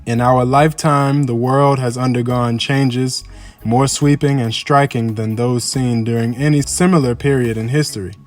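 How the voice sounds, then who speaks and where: A man reads a formal address aloud in a solemn, measured, oratorical manner. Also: medium pitch, darkish tone. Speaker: reader of a historical speech. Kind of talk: formal speech read aloud. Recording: music under the voice. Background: background music.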